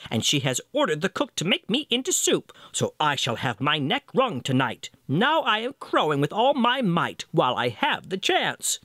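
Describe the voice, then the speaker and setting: A man's voice: on the high side, huge pitch swings, moderate pace, hardly any pauses, bright, forceful tone, no room sound, studio quality, pitched above the performer's own voice, full character voice. A man voicing a fussy, indignant rooster in a storybook tale, exclaiming in agitation. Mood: agitated indignation. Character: loud, excitable male rooster, fussy and indignant.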